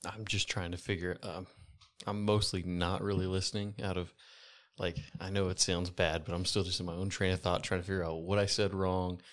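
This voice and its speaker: A man's voice: deep voice, flat delivery, a little fast, a bit bright. A man playing a tabletop game, chatting casually and speaking in character. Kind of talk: casual in-character roleplay and chat.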